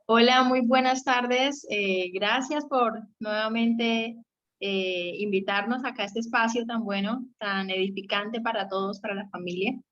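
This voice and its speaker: A woman's voice: fairly high pitch, fairly even intonation, a little slow, fairly bright tone. A young Colombian woman giving a conversational teaching talk as a guest, explaining things and checking for agreement as she goes. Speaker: guest speaker on a marriage radio segment. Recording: slightly dull, lo-fi sound.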